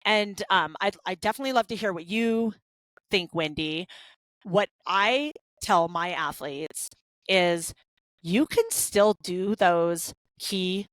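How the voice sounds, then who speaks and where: Woman, 30s, podcast co-host: low-ish, chatty, candid, dry studio sound. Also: animated, takes it slowly, dry recording, low-quality recording. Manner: chatty, candid, many fillers and restarts. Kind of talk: casual podcast conversation.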